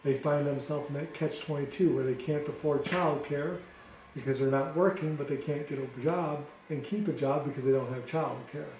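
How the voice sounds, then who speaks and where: A middle-aged American man from Detroit giving earnest, deliberate explanations in an informal interview. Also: on the high side, fairly even intonation, darkish tone. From Detroit, United States. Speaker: interviewee, ministry founder. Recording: roomy echo with clear background noise.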